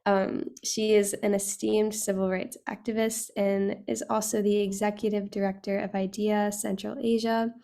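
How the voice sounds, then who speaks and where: Female host for an online panel: low-key and even in pitch, gravelly, formal and courteous. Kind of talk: introducing guest speakers at an online session. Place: online video call.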